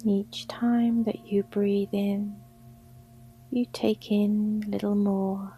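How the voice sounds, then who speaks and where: A woman guiding a sleep meditation in a soft, breathy near-whisper, gentle and soothing. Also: medium pitch, level tone, takes it slowly. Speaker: yoga nidra guide. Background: gongs and singing bowls.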